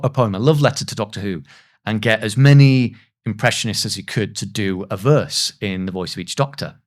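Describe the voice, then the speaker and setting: Self-deprecating man in his thirties, chatting. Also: medium pitch. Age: 30s. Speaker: guest, voice actor. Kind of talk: casual podcast interview, anecdotal storytelling.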